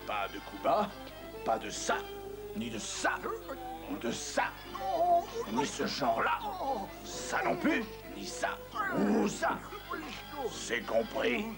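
A man's voice: medium pitch, wildly swooping pitch, slow, vocal fry, pauses often, heavily acted. A man voicing a big pompous duck who referees and announces boxing in a cartoon, with grandiose delivery. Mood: officious. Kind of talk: ring announcing in French-dubbed cartoon. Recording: old cartoon soundtrack with music.

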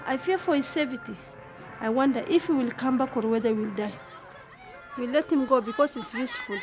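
An actress playing a Somali mother who quietly fears for her son, speaking careful, earnest English. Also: fairly high pitch, brisk, over music, lightly acted. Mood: worried. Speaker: mother in the family.

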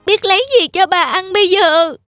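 High-pitched earnest farm boy. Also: moderate pace, fairly bright tone. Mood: distressed, pleading. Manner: plaintive, exclaiming, earnest. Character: earnest poor young farm boy devoted to grandmother. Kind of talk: animated fairy tale dubbing. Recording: music under the voice.

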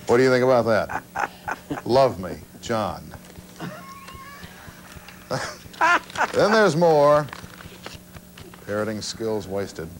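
Older folksy man, lively low voice. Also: very slow, pauses often. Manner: folksy, dryly joking. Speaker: call-in TV show host. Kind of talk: casual call-in chat. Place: small TV studio. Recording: noisy old TV broadcast tape.

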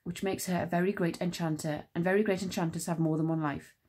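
A woman voicing a calm character who explains things quickly and plainly in a storybook reading. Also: on the low side, husky. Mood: explaining.

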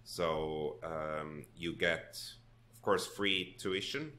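Very low man, easygoing interview guest with a storyteller's ease. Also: steady tone, very slow, bright, forceful tone. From Finland. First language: Swedish. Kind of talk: casual interview.

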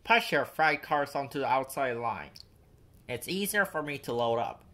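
A man's voice: mid-range voice, animated, normal speed, pushed and bright. A man voicing a bossy, grumpy crane in a children's storybook, scornful and emphatic. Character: bossy, grumpy, complaining male dockside crane.

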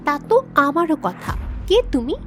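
A woman's voice: sits high, big pitch swings, moderate pace, pushed and bright, soft background music, exaggerated voice. A woman voicing a haughty, scornful young impostor queen in a fairy tale cartoon.

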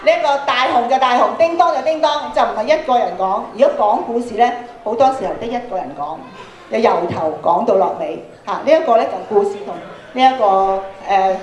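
A woman's voice: fairly high pitch, a little fast, a little mellow, lo-fi sound. A middle-aged woman with a Hong Kong Cantonese accent, leading an interactive workshop for students and prompting them with questions. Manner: instructive, prompting with questions and tag particles. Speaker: radio drama workshop speaker. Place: large indoor auditorium, on and before the stage. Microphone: handheld microphone through PA. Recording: roomy auditorium PA sound.

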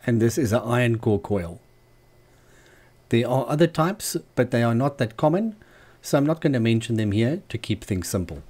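A man narrating a scripted how-to tutorial as the channel's host, in a measured, explanatory manner. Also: mid-range voice, expressive, darkish tone. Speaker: host of a DIY loudspeaker channel.

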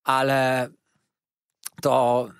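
A man's voice: mid-range voice, completely flat delivery, drawn-out words, vocal fry, clear, resonant tone, no echo, studio quality, voice pushed up. A man voicing a sly, jovial, chatty manservant who exclaims theatrically in a storybook reading. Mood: wheedling. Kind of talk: dramatised audiobook reading.

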